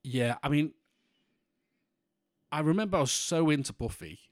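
A man from in England co-hosting a podcast in casual chat, with a monotone voice and a rambling way of talking full of restarts. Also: fairly high pitch, normal speed, no echo, studio quality. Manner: rambling, many restarts and fillers. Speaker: podcast co-host.

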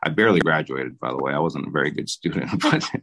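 A young man from the Dominican Republic, a mayor answering interview questions in a halting way, often restarting his words. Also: a bass voice, animated, a bit bright. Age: young adult. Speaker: mayor and city commissioner.

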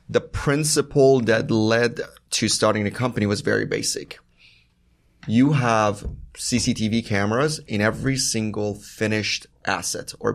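A man's voice: fairly low pitch, vocal fry. A man from Iran, a startup founder, explaining his business methodically as a podcast guest. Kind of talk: interview conversation.

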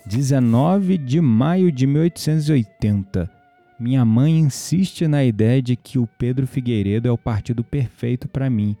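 Actor as inquisitive young Brazilian male companion, very dark warm tone, mid pitch, audio drama, soft music underneath. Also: normal speed, dry recording, lightly acted. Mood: solemn reading. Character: intrigued, gently teasing male companion.

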